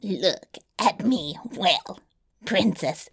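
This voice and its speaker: A woman's voice: on the low side, lively intonation, drawn-out words, creaky, husky, exaggerated voice. A woman voicing a small, malicious male dwarf in a fairy tale, boastful and sly, with a taunting, mock-courtly drawl. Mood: mocking, menacing. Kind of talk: fairy tale storybook reading.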